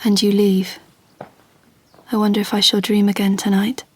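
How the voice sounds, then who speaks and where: An actress voicing a young lady's wistful private thoughts in a radio drama, hushed and intimate. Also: mid-range voice, flat delivery, normal speed, lightly acted. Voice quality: hushed, husky.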